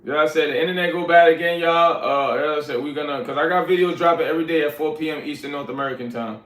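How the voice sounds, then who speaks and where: A man's voice: on the high side, quick pace, fairly bright tone. Laid-back slangy man in an echoey room. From Liberia. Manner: laid-back, addresses viewers as y'all, slangy. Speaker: livestream host reading chat. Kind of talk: casual livestream chat. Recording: roomy echoey sound.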